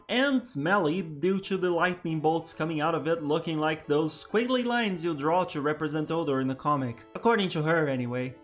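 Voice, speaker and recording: A man with Portuguese as his first language, narrating a scripted game commentary with dry, self-deprecating humor. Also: a little high. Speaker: video essay host.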